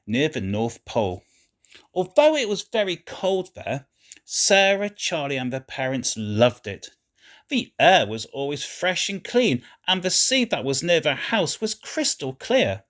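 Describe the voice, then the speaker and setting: A man reading a children's story aloud in an expressive, deliberate way, as scripted narration. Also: mid-range voice.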